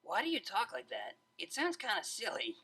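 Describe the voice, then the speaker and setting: A man's voice: sits high, wildly swooping pitch, moderate pace, vocal fry, bright, forceful tone, husky, voice pushed up, heavily acted. Man voicing a laid-back, cocky young unicorn DJ girl. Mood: curious, casual. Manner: casual, slangy, confident.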